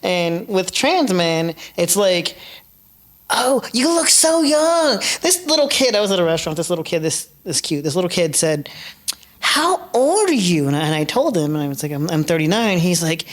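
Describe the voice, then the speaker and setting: A man's voice: high voice, sing-song, normal speed. A man in his forties on a panel, telling stories with laughter and many hesitations. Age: 40s. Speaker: panel guest. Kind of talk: casual panel discussion.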